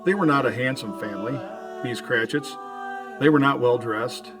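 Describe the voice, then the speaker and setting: A man's voice: mid-range voice, normal speed, over music, lightly acted. A man playing the earnest storyteller, narrating an old-time radio drama. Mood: measured, dramatic. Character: earnest storyteller of a Victorian tale.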